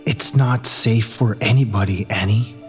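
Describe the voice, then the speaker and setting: A man's voice: lowish voice, measured pace, faint music behind, natural voice. A man playing a protective, cautious crew member in an audio drama, speaking earnestly. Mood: tender.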